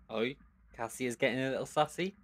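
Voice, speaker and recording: A man's voice: medium pitch, fairly quick, a little hiss or hum, natural voice. A man playing a bumbling, cheeky young sidekick in an audio drama, talking in a blunt, matey, slangy way. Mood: teasing.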